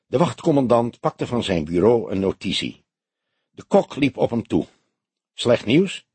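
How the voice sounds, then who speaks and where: A man narrating an audiobook in a measured, expressive literary storytelling style. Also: mid-range voice, slightly rough, no room sound.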